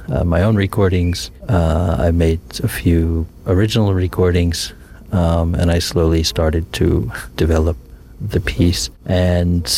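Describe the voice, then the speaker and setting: A man from the United States talks about his sound art in a very low, gravelly voice. He rambles thoughtfully, circling back with fillers and restarts. Faint ambience sits behind him. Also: darkish tone, a little hiss or hum. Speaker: multimedia sound artist. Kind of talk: interview, casual explanation.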